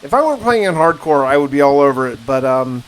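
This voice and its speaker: A man's voice: medium pitch, very animated, fast talker, rough recording. A man hosting a gaming video, giving casual first-person commentary with folksy exclamations and mild slang.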